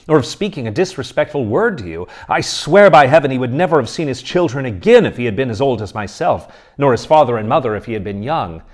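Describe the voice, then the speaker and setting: A man voicing a grieving old colonel who speaks in stern, emphatic declarations. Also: on the low side.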